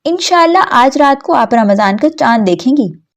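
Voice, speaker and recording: A woman voicing an eager, excitable little boy in a children's story, calling out urgently and repeating words. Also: sits high, pitched above the performer's own voice. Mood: earnest.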